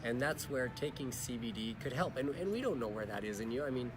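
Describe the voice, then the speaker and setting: A hoarse middle-aged man, his voice worn out, explaining things plainly and informally as a company's chief scientific advisor in an interview at a convention. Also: medium pitch, lively intonation, brisk, background noise. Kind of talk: casual explanatory interview answers. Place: busy convention venue. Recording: loud music playing behind. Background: music.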